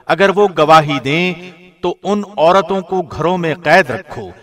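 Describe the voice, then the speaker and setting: A man reading a scripture translation in a measured, formal broadcast manner. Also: fairly high pitch, a bit bright. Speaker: translation reader, radio host.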